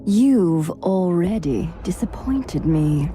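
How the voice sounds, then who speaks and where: An actress playing a proud, haughty rival witch in a video game cutscene, with a mocking, deliberate delivery. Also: fairly low pitch, animated, mellow, slight background noise.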